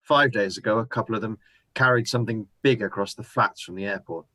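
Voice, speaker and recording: A man's voice: lowish voice, a little fast, sharp tone, no echo. An actor playing a hardened ex-soldier who has survived an invasion, talking in a brooding, intense way as he lays out his plans in a radio drama. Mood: grim. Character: hardened male ex-soldier survivor, scheming and grim. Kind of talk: amateur radio drama acting. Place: home room, recorded remotely.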